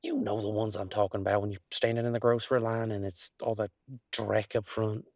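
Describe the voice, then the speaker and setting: A man's voice: lowish voice, lively intonation, a little fast, vocal fry, dry recording. An easygoing werewolf boyfriend, acted by a man in an intimate audio roleplay. He rambles in a folksy, affectionate, teasing way. The sound is close and dry. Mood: wry, chatty. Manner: folksy, rambling, playful drawl with colloquial turns. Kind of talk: intimate one-sided roleplay audio, conversational acting.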